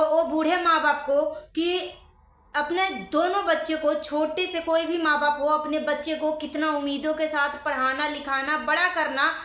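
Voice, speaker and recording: A woman with a very high, sharp voice speaks as a vlogger to her viewers. She comments earnestly and emphatically, often repeating her points. The sound is roomy and echoing. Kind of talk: opinionated commentary addressed to viewers.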